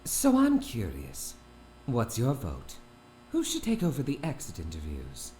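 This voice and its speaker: A man's voice: medium pitch, huge pitch swings, unhurried, darkish tone, slight background noise. An actor playing a smug doctor in his fifties who talks with prim, mock-polite courtesy. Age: 50s. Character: smug older male doctor, oily and condescending. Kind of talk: audio drama acting.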